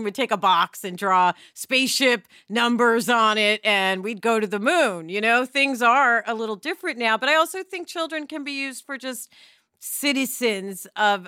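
A woman's voice: on the high side, sharp tone, clean tone, no echo, clean studio sound. A woman talking conversationally as a podcast co-host.

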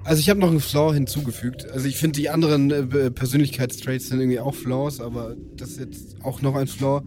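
A man's voice: mid-range voice, flat delivery, quick pace, noisy background. A man explaining things casually as a player in a tabletop game. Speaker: tabletop role-playing player. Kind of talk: casual chat.

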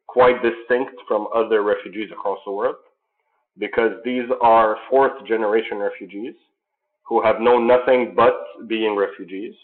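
Male interviewee from Jordan, low register, earnest and measured, thin phone-line audio. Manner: earnest, measured, many fillers. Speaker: sports program founder. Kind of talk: interview answers.

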